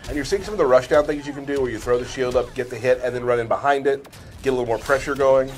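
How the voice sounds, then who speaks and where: A man's voice: medium pitch, quick pace, slight background noise. A man doing casual gameplay commentary with teasing banter. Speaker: game stream host and commentator.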